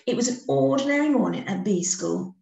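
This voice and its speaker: A woman teacher narrating a children's story aloud in an expressive way. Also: a little high, fairly quick, creaky, background noise. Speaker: teacher reading to pupils. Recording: echoey room.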